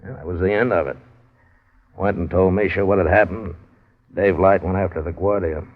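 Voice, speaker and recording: A man's voice: low-pitched, steady tone, moderate pace. An actor playing an evasive, irritable American man under questioning in a 1950s radio drama, offhand and defensive. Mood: weary, offhand. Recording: dull vintage radio recording.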